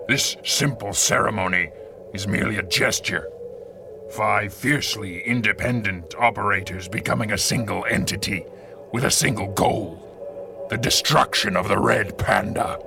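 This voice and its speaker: Deep, gravelly supervillain mastermind. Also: male voice, over music. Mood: solemn, commanding. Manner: solemn, theatrical, deliberate. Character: grave, commanding male supervillain mastermind leading a secret cabal. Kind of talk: 1930s radio serial acting.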